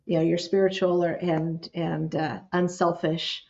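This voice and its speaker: A woman's voice: on the low side, level tone, unhurried, creaky, a little mellow, dull audio. A woman hosting a podcast, affirming her guest with frequent encouraging murmurs and closing the episode. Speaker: podcast host. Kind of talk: podcast interview and outro.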